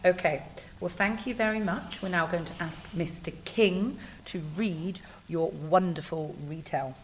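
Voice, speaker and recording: A woman speaking as a teacher, gently prompting and praising a young child in an interview. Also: lowish voice, measured pace, slight background noise.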